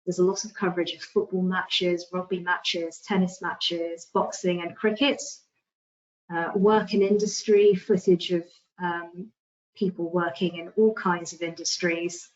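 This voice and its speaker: A woman's voice: fairly low pitch, little pitch movement, measured pace. A woman giving an online slide presentation in a hesitant, informal way over lo-fi call audio.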